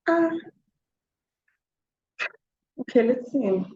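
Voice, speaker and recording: A woman's voice: high voice, lively intonation, normal speed, warm tone. A woman in her forties with a South African accent, answering interview questions casually, laughing often and mixing in Zulu words. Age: 40s. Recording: slightly dull, faint background noise.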